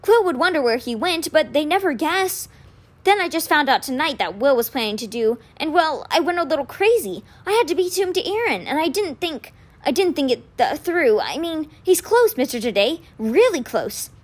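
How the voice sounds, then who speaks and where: A woman's voice: sits high, sing-song, moderate pace, pitched above the performer's own voice. A woman voicing an earnest, anxious teenage boy in an audiobook, stammering, pleading and full of guilt. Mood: distressed, rambling.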